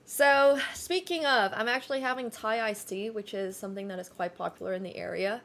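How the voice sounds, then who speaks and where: A woman's voice: medium pitch, fairly quick, a bit bright, a little hiss or hum. A young woman whose first language is Chinese, chatting casually on a livestream, rambling with lots of fillers and self-corrections. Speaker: streamer.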